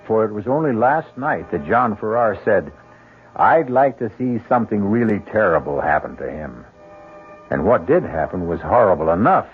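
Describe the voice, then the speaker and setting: Dull vintage radio sound with faint music carries an ominous, philosophical male storyteller. He is low-voiced and theatrical, with very expressive pitch. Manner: theatrical, deliberate. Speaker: host and narrator of a radio mystery series. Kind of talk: dramatic 1970s radio mystery narration. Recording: muffled 1970s radio broadcast.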